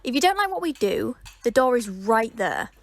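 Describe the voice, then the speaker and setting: An actress playing a prim, easily flustered club organiser in an audio drama, speaking in a brisk, clipped, humourless way. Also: female voice, a little high, very animated, creaky. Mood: stern, annoyed.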